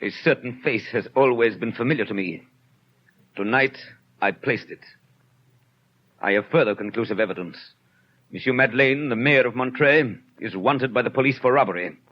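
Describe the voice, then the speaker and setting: An actor playing a stern, duty-bound police inspector in a 1930s radio drama, speaking formally and insistently. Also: male voice, mid-range voice, moderate pace, natural voice. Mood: coldly formal. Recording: dull 1930s radio recording.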